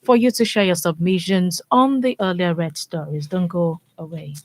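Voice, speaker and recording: A woman's voice: lowish voice, normal speed, heavy background noise. A woman with a Nigerian accent presenting radio news, reading formally and hosting from the studio.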